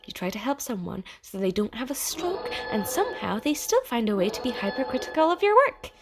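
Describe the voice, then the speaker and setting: A woman's voice: fairly high pitch, big pitch swings, a little fast, over music. A woman playing a chatty, sarcastic, easily flustered AI program in an audio drama, talking casually and stammering when rattled. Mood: defensive, exasperated. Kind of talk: audio drama monologue in casual second-person address.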